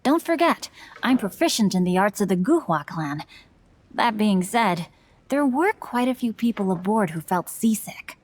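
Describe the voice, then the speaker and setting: A woman's voice: medium pitch, very animated, moderate pace, no room sound. A woman voicing a bookish, polite teenage boy novelist in a video game, speaking formally and eloquently. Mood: breezy.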